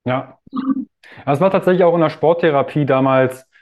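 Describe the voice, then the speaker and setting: A man's voice: fairly high pitch, normal speed, darkish tone. A man hosting an interview, talking casually and thoughtfully, feeling for his words as he goes. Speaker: podcast host. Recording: dull, lo-fi audio.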